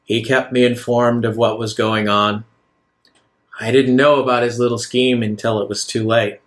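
A man voicing a self-justifying, measured villain in his nineties, a wealthy recluse, in a tabletop game. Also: medium pitch, flat delivery, lightly acted. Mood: persuasive, calm.

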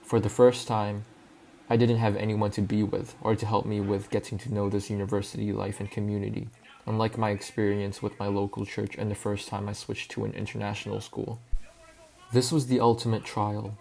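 Calm low-voiced young man narrating. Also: gravelly. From Hong Kong. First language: Cantonese. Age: young adult. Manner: calm, reflective, measured. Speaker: student filmmaker. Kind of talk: scripted personal voice-over narration.

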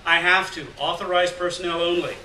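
A man's voice: sits high, fairly even intonation, a little fast, bright, forceful tone, voice pushed up. A man voicing a wary, rule-bound fire lookout who protests guardedly, in a story read aloud. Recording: roomy, distant mic, noisy venue.

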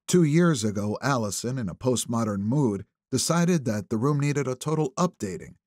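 A man's voice: medium pitch, animated, normal speed, a little mellow, no room sound, clean studio sound. A man reading a thriller novel as scripted audiobook narration.

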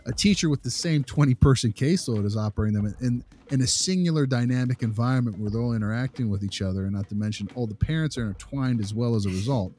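A man's voice: medium pitch, fast, few pauses, mellow, slight background noise. A man talking through his opinions in a casual podcast discussion, repeating phrases for emphasis. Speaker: podcast co-host, behavior analyst.

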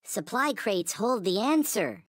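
A tiny toy space alien from a video game, naive and endlessly grateful. The voice is high and childlike, delivered at an unhurried pace with lively rises and falls. The phrasing is stilted, solemn and formal, as if everything were sacred. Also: male voice, no echo, studio quality, exaggerated voice. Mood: solemn, reverent. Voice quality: high-pitched, childlike cartoon voice. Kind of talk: video game character voice lines.

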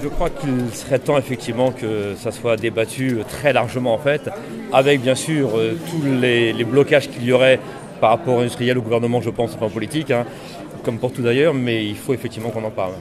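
A middle-aged man talks at a brisk pace in a mid-range voice, measured and reflective. A crowd chatters loudly around him. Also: medium pitch, steady tone. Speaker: audience member. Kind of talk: street interview. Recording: busy crowd behind.

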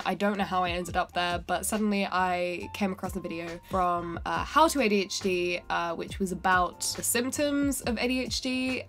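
A young woman with a cold talks into the camera in her bedroom, her voice nasal and stuffy. She rambles with lots of ums and self-corrections. Soft music plays faintly behind her. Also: mid-range voice. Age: 20s. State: has a cold, sounds nasal. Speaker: singer-songwriter and vlogger. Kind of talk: casual talking-to-camera vlog. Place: bedroom indoors.

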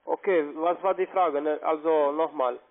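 Flustered male call agent, hesitant delivery, fairly high pitch, narrow telephone audio. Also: fairly even intonation. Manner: halting, flustered. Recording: tinny phone line.

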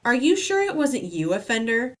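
A prim, motherly female monster who runs the house, voiced by a woman. She speaks in a proper, slightly scolding manner. Her pitch moves lively up and down. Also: normal speed.

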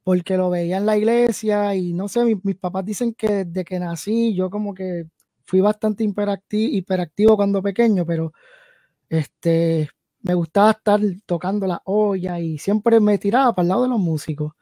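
A man in his late thirties from Connecticut talks through a video call from his home studio. His voice is mellow and dark, and the sound is dry and close. He rambles, pausing to think aloud with fillers. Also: high-pitched, no room sound. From New Haven, Connecticut, USA. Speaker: guest musician and producer. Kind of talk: casual interview chat. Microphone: headset and video call.

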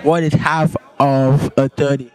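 A young man, a native French speaker, putting halting questions to someone as a casual street interviewer. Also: a little high, level tone, a little mellow, soft background music. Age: young adult. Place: outdoor sidewalk at night. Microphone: shared handheld microphone.

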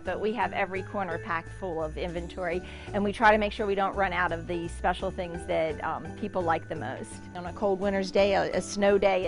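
A middle-aged woman, a shop owner, chatting enthusiastically as she gives a tour of her large indoor store, with frequent ums. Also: mid-range voice. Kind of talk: guided store tour interview.

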